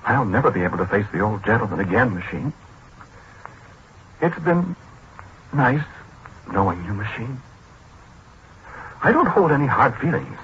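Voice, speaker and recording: A halting, forlorn American scientist, old radio. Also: male voice, medium pitch, sing-song, fairly bright tone. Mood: wistful, self-pitying. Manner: hesitant, halting, self-pitying. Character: disgraced, apologetic scientist, gentle, forlorn, talks to machines. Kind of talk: 1940s radio drama acting. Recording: muffled, noisy 1940s radio recording.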